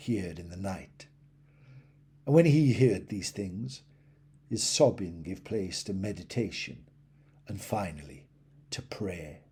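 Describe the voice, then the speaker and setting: A man reading a classic tale as a narrator, slow and dramatic, letting his phrases fall away at their ends. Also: fairly low pitch, dark tone, breathy edge. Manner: slow, dramatic storytelling with falling phrase endings.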